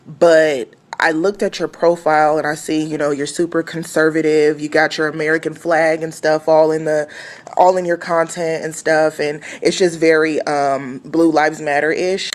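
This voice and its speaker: A woman's voice: low-pitched, level tone, moderate pace. A woman complaining earnestly in a social media video clip.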